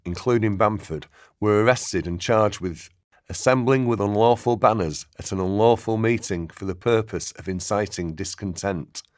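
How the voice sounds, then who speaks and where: A man's voice: sits low, no echo, clean studio sound. A man narrating a historical radio play in a scripted documentary style.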